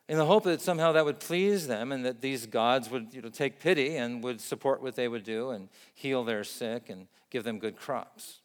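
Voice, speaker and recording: A man who grew up in Vietnam, preaching a sermon in an earnest, measured way and building up emphatic lists. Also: medium pitch, in a large hall. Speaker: pastor.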